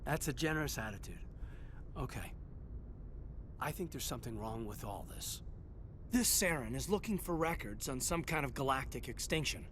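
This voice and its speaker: A man acting an earnest, guarded young military officer in a science fiction video game, speaking in a measured, reflective, slightly formal way. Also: animated, natural voice. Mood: concerned. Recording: clear background rumble and noise.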